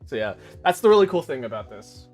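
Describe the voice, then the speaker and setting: A man's voice: mid-range voice, big pitch swings, fast talker, darkish tone, soft background music. A man streaming a casual chat, rambling to his viewers while making coffee. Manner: chatty, rambling, talks to chat.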